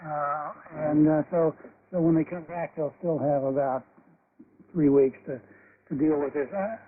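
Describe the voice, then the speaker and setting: A man giving measured, hesitant news commentary as a panelist, heard over shortwave radio. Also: on the high side, fairly even intonation. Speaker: panelist, political analyst. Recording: narrow, noisy shortwave radio reception.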